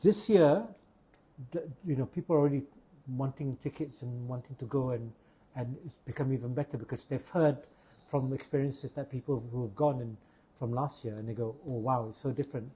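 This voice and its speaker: A Malaysian-accented man from Sarawak talks through a relaxed podcast conversation. His voice is husky and dark, and he often stumbles and repeats words as he gathers his thoughts. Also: mid-range voice, brisk, poor audio. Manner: hesitant, repeats words while searching for phrasing. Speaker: podcast host. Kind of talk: casual chat.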